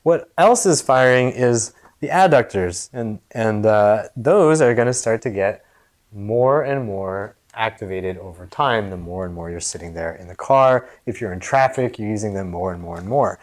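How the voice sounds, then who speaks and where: A man's voice: fairly low pitch, normal speed. An American man in his thirties, a movement coach giving a casual, jokey exercise demonstration in a small gym. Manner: jokey, informal explanatory. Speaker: movement coach and video host.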